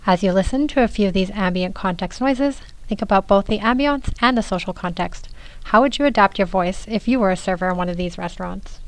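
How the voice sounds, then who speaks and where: A young woman, a PhD student, presenting a research demo in measured scripted narration. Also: mid-range voice, slight background noise, low-quality recording.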